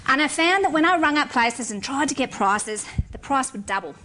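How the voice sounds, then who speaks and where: A woman's voice: high-pitched, animated, a little fast, pushed and bright, slight background noise, poor audio. A middle-aged woman, a civil engineer, giving a frank, wry talk to an audience. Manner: frank and wry, with blunt humor.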